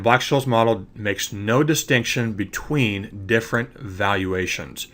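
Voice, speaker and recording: A man's voice: fairly low pitch, sharp tone. A man with a congested voice from allergies, explaining trading ideas over slides and often checking in with "okay?". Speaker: trading educator. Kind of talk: screen-recorded slide lecture.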